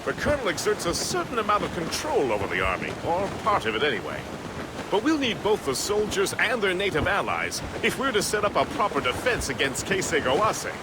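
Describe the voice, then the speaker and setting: High, animated ship's mate. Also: male voice, a little fast. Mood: earnest. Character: eager, inquisitive ship's first mate, deferential sailor. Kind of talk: video game dialogue acting. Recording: loud sea and wind noise.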